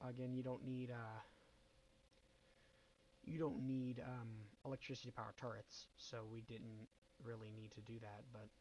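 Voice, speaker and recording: A man's voice: medium pitch, lo-fi sound, slight background noise. A young man with a bad cold hosting a casual game walkthrough, rambling and hesitant with many ums and self-corrections. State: nasal from a cold. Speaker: gaming channel host.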